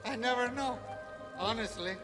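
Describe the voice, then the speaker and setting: A man's voice: extremely high pitch, normal speed, over loud music. A man playing a laid-back, rambling, cryptic character in a comic video game, drifting and noncommittal. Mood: breezy.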